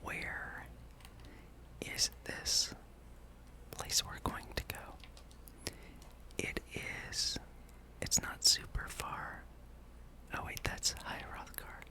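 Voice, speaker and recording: A gaming ASMR host whispering softly and without voice in an unhurried, casual ramble over gameplay, close to the microphone. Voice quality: soft, unvoiced whisper.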